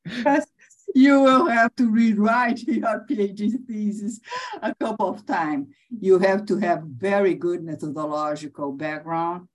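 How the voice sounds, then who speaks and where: Slow, halting middle-aged woman professor. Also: medium pitch. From Brazil. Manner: halting, many restarts and fillers, laughs often. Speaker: interviewee, professor. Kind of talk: conversational interview.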